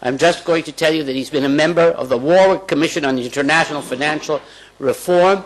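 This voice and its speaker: An older man at a lectern introducing a guest speaker in a measured, formal manner, reading from notes. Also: a little high, low-quality recording. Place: lecture hall at a lectern. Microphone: lectern microphone.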